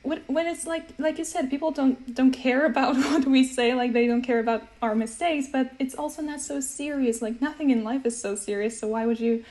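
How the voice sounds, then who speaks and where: A woman hosting a casual conversation about language learning, animated and laughing often. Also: high-pitched, brisk, hardly any pauses. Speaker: language teacher host.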